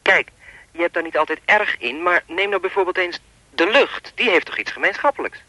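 An actor playing a sinister, persistent phone stalker in a Dutch radio play, talking in a pompous, wordy, coolly controlling way. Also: male voice, high-pitched, fairly quick, bright, forceful tone, no room sound, exaggerated voice, poor audio. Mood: smug, musing.

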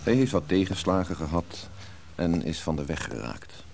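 A man's voice: sits low, expressive, moderate pace, creaky, darkish tone, slight background noise. A man narrating a radio drama serial in a scripted, storytelling style. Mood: measured narration.

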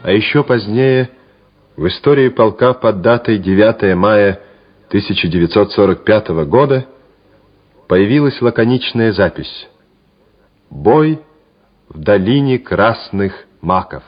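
A man narrating a Soviet war radio play in a measured, solemn, declamatory manner. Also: fairly low pitch, lively intonation, slightly rough. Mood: solemn, hushed. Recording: dull vintage radio play recording, loud music. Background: music.

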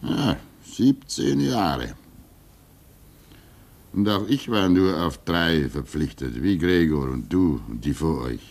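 Fatherly old hermit in a radio drama: low voice, very unhurried, gentle, resigned, reflective. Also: lively intonation, full character voice. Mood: fatherly, earnest. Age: older. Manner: fatherly, reflective, halting, swinging between emphasis and hush. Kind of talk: German radio play acting.

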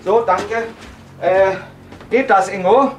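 A man's voice: high-pitched, slow pace, slight background noise. An actor playing a commanding, businesslike male film director who gives instructions in naturalistic radio drama dialogue. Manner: directing, hesitant asides, giving instructions.

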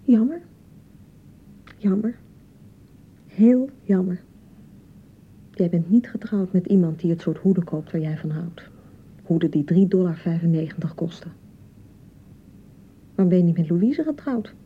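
An actress playing an insecure young newlywed wife in a radio drama, nagging and reproaching in repeated, sarcastic phrases. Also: female voice, lowish voice, expressive, measured pace, long pauses, husky, narrow, tinny audio. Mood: sarcastic, jealous. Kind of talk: naturalistic radio drama acting.

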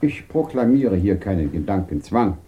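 An actor playing an earnest, principled professor in a 1950s German radio drama, speaking formally and gravely. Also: male voice, low voice, sing-song, phone-quality sound. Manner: formal, measured protest. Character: earnest, dignified professor, morally troubled and principled.

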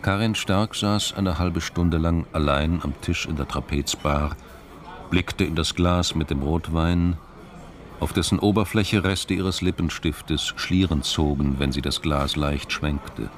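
A man's voice: rumbling low, unhurried. A man narrating a crime radio drama in a scripted, literary style, with music behind him.

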